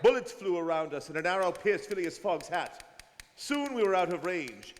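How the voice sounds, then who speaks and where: An actor playing a grave detective who recounts a journey in formal, suspenseful radio drama narration. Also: male voice, sits high, very animated, moderate pace, some chatter behind. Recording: reverberant hall with faint noise.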